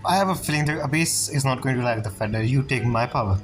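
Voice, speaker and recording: A man's voice: mid-range voice, fast. Male game streamer rambling over music. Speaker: gaming streamer. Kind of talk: casual gameplay commentary. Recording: game music clearly behind the voice.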